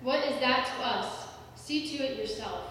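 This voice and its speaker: A woman playing a stern high priest in a scripture drama, declaiming with accusation. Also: a little slow, a bit bright. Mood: dismissive. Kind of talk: church dramatic reading of scripture. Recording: distant, very echoey hall, noisy.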